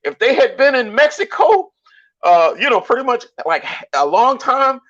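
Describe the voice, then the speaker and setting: A man's voice: very high voice, big pitch swings, normal speed, fairly bright tone. A man raised in Savannah, Georgia, with a Southern accent, talking in a casual, preachy way with many restarts. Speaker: show host. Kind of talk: casual motivational talk. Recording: dull lo-fi audio.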